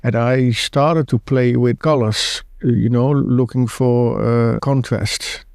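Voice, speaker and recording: A Dutch man in his fifties talking as a guest artist, halting and laid-back, with many restarts. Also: mid-range voice, steady tone, vocal fry, a little mellow, clean tone, no room sound, clean studio sound. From Netherlands. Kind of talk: casual interview chat.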